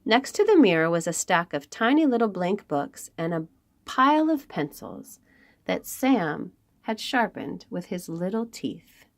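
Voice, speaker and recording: A woman reading aloud to young children as a storytime host, expressive, with dramatic emphasis and pauses. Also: fairly low pitch.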